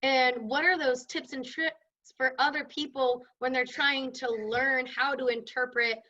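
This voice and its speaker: A woman's voice: high-pitched, fairly even intonation, unhurried, pushed and bright. A woman casually hosting an event and asking questions in an informal, loosely phrased way, heard through dull, muffled sound. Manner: informal, hedging with kinda and like. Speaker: event host.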